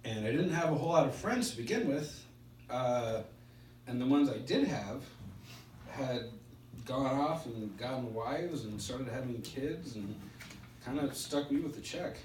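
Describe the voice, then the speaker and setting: Middle aged guy sharing his story at a meeting, stutters, choppy pauses, roomy sound w some noise. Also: medium pitch, lively intonation, darkish tone. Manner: halting, spaced-out delivery with pauses. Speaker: speaker at a recovery meeting. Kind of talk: personal testimony speech. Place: indoor meeting room. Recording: roomy, distant mic with background hiss.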